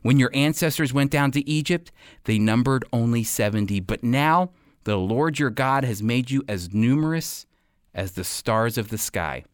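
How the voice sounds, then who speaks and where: Expressive male preacher, close dry sound. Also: mid-range voice, clean tone, no room sound. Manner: deliberate, emphatic phrasing with pauses. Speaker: preacher, Bible teacher. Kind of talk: prepared sermon teaching to a congregation.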